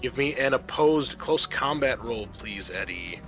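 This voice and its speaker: A man's voice: medium pitch, expressive, gravelly, a bit bright. Man running a tabletop game over music. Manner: informal, halting narration with fillers. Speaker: game master. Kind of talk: narrating and refereeing a tabletop roleplaying game.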